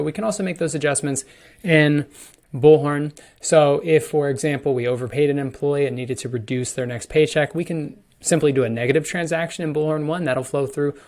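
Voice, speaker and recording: A man giving a conversational, explanatory walkthrough of software, as a product demonstrator would, stressing key words as he goes. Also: fairly high pitch. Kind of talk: screen-share software demo, explanatory walkthrough.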